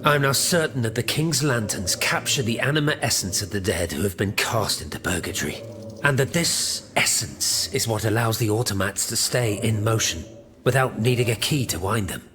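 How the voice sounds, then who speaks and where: A man voicing a grave, self-assured gentleman investigator in a video game, explaining formally and deliberately. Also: on the low side, animated, a crowd in the background, close to an everyday voice. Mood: grave, certain. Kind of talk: scripted video game cutscene dialogue.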